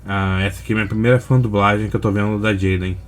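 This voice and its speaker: A man's voice: fairly low pitch, fairly even intonation, fast talker, soft background music. A man with a Brazilian accent hosting a reaction video in laid-back, slangy, casual chat.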